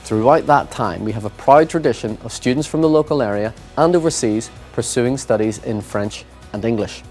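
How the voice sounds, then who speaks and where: A man's voice: mid-range voice, darkish tone. A man giving formal, scripted narration for a school promotional video. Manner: formal, measured reading. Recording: music bed underneath.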